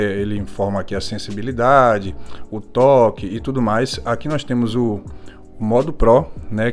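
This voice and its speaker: A Brazilian man talks through a hands-on tech review in a casual, informal way. His voice sits at a medium pitch and he often tags his phrases to check in with viewers. Music plays behind him.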